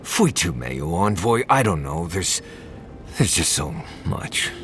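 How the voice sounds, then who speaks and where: Anxious, deep-voiced adventurer. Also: male voice, big pitch swings, over music, heavily acted. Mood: anxious, subdued. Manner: halting, hesitant. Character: anxious, troubled male adventurer, reluctant, vulnerable. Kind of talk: naturalistic video game acting.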